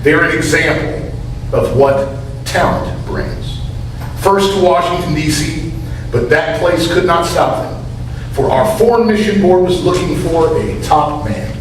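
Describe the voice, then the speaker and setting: A man with a high-pitched voice reads rhyming verse in a church readers theater. The hall echoes heavily and there is faint noise. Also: measured pace, distant mic. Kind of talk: reading rhyming poem aloud.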